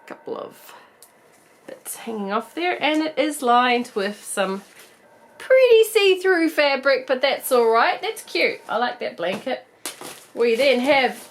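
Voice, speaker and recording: A woman with a New Zealand accent talks her way through an unboxing, her voice croaky from a cold. She sits a little high in pitch and keeps things chatty and bubbly, laughing easily. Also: a little hiss or hum. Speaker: doll collector vlogger. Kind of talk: casual unboxing review.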